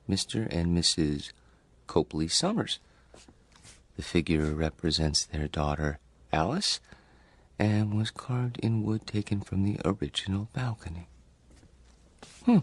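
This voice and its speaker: A man's voice: fairly low pitch, slightly rough, exaggerated voice. An actor playing a timid, earnest male loner in a radio drama, polite, hesitant and prone to stammering. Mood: puzzled, curious.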